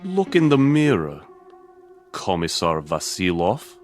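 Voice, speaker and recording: A man's voice: sits low, huge pitch swings, slow, a little mellow, faint music behind, heavily acted. A man playing a wary, embittered army major and former political prisoner in a drama, answering tersely and dryly. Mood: bitter, defiant. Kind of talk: dramatic TV-style dialogue acting.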